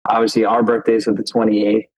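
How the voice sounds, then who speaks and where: Podcast co-host, man, 30, husky and a bit low, loose rambling delivery. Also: completely flat delivery, brisk, darkish tone, rough recording. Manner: rambling, repeats himself, lots of fillers. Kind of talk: casual chat.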